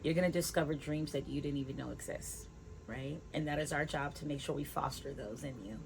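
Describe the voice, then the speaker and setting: A woman's voice: low voice, brisk, creaky, slight background noise, rough recording. A woman teacher giving informal, encouraging and motivational remarks to students.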